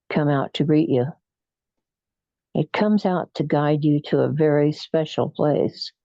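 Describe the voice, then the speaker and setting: A person leading a guided meditation in a soothing, unhurried, hypnotic manner with frequent pauses. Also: medium pitch, mellow, no room sound, dull audio. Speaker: guided imagery meditation leader.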